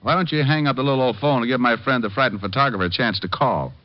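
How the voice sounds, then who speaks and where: An actor playing a wisecracking private detective who also narrates, in a 1950s radio drama. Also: male voice, mid-range voice, fast talker, no room sound, close to an everyday voice. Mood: joking. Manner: dry wisecracks, laconic. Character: wisecracking tough private detective, adult man. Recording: dull old radio recording.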